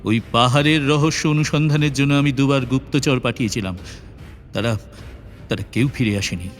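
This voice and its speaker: A man playing an older, anxious and imperious king, theatrical and halting, in a fairy-tale audio drama. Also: medium pitch, lightly acted. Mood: troubled. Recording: long reverb with music behind.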